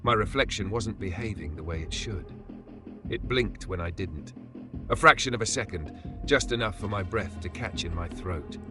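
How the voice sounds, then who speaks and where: A man narrating a scripted first-person horror story in a dramatic, measured way with pauses. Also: lowish voice, lively intonation. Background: background music.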